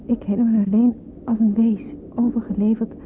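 An actress playing a frightened, lonely young refugee in a radio drama, with hesitant, wistful first-person narration. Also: female voice, on the high side, little pitch movement, normal speed, close to an everyday voice. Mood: wistful, forlorn. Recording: muffled, noisy old radio play recording.

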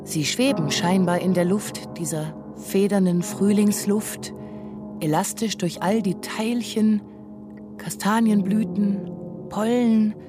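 A woman's voice: mid-range voice, steady tone, slow. A woman narrating a literary radio drama in scripted, descriptive prose. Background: music.

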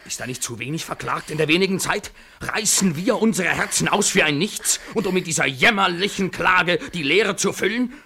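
An actor playing an anguished, obsessive lover in a poetic radio play, breathy and intense in theatrical declamation. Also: male voice, high voice, expressive, normal speed, bright, forceful tone, exaggerated voice. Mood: desperate, raging. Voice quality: breathy, husky. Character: obsessive, anguished male lover, swinging from tender to desperate. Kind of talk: literary radio play monologue, poetic and impassioned.

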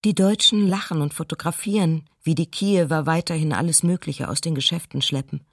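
An actress playing a sober city diarist under occupation, reading testimony plainly and with restraint for radio docudrama. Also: female voice, low voice, steady tone, fairly quick, no echo, clean studio sound. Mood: sober, observant.

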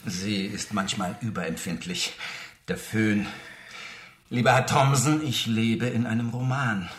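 Anxious novelist, radio drama, precise, insistent, slightly low voice, roomy echo. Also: male voice, measured pace, fairly bright tone. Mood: pleading, urgent. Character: anxious, insistent male novelist turned screenwriter, short of money. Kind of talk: German radio drama acting. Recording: roomy, echoing interior sound.

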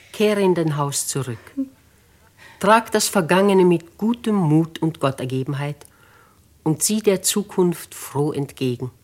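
An actress with diction playing a shrewd, kindly elderly noblewoman in a 1950s radio drama, deliberate and theatrical. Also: low-pitched, darkish tone, exaggerated voice. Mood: gentle, solemn.

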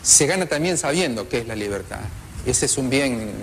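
Male witness, Argentine accent, medium register, halting and repetitive testimony, slight background noise. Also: medium pitch, expressive. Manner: halting, searching for words, repeats himself. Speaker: survivor giving testimony. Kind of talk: spoken testimony.

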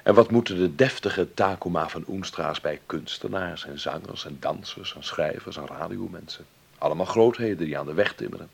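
An actor playing a wry, protective grown son in mourning in a radio drama, gruffly affectionate in manner. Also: male voice, low-pitched, moderate pace, heavily acted. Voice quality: gravelly, husky. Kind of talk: naturalistic radio drama dialogue.

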